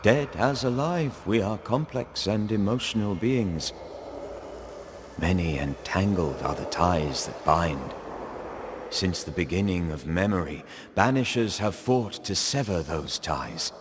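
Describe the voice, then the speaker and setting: An actor playing a grave, haunted ghostly gentleman who narrates a game story in a solemn, theatrical and measured way. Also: low-pitched, slight background noise. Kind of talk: dramatic scripted narration.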